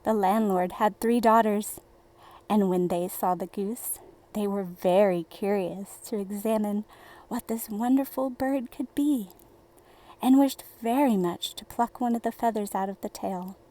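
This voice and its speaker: A woman reading a children's bedtime fairy tale in a deliberate, theatrical storybook manner. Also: mid-range voice, mellow.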